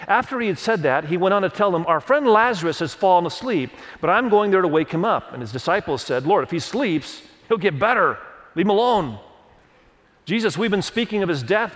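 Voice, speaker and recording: A fairly high-voiced middle-aged man preaches in a church sanctuary, telling personal stories and reading scripture in an earnest way. Indonesian was his first language. His voice carries a long, hall-like reverb. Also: fairly bright tone. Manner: earnest storytelling, leans on verses, quick restarts. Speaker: pastor.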